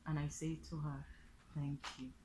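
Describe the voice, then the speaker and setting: A woman's voice: deep voice, little pitch movement, moderate pace, mellow, a little hiss or hum, low-quality recording. A woman with a Nigerian accent gives an informal tutorial, explaining step by step and repeating phrases with examples. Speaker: online language teacher and vlogger.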